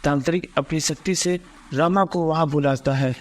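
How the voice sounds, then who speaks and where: A man's voice: fairly high pitch, moderate pace. A man reading a scripted horror story as its narrator. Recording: clear background noise and ambience.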